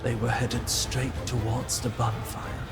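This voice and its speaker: A man narrates a fantasy tale in a solemn, dramatic way. His delivery is slow with a creaky, gravelly grain, and music plays prominently underneath. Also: mid-range voice, level tone.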